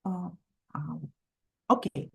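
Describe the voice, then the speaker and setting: A woman from Ukraine with a Ukrainian accent teaches an online session as an instructor, speaking haltingly with frequent fillers. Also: deep voice, steady tone, gravelly. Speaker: marketing instructor. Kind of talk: online training session, explanatory lecture.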